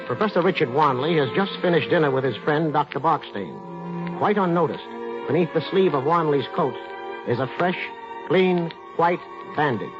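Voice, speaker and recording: Actor as solemn mystery narrator over music. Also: male voice, fairly high pitch, lively intonation, normal speed, lightly acted. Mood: grave, suspenseful. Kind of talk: scripted narration, 1940s radio. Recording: muffled 1940s radio recording with music.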